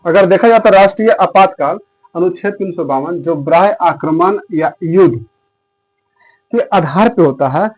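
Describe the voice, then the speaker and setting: A man's voice: sits high, normal speed, rough recording. An Indian man teaching exam students in an explanatory slide lecture, repeating key phrases for emphasis.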